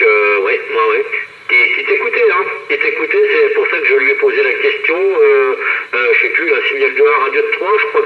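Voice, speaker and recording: A man chats casually over amateur radio in a hesitant, meandering way, circling back over his words. His pitch is average. The transmission sounds dull and echoey. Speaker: amateur radio operator relaying between stations. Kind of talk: casual two-way radio chat. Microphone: two-way radio microphone.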